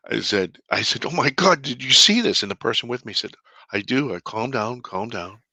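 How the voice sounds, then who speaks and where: A deep-voiced man, a filmmaker guest in an interview, telling a personal story earnestly, with halting restarts and bursts of excitement. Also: dry recording. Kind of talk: conversational storytelling.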